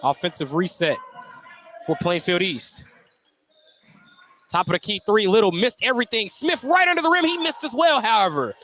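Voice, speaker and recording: A man calling live basketball play-by-play on the radio, getting excited on big plays. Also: sits high, moderate pace, pauses often, slight background noise. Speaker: play-by-play announcer. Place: basketball gym at a tournament.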